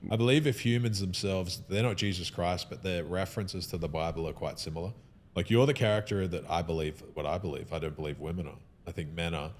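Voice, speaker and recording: A man speaks in a podcast conversation with a very low voice and a quick pace. He is emphatic and opinionated, often starting a sentence and restarting it. A faint rumbling noise sits under the recording.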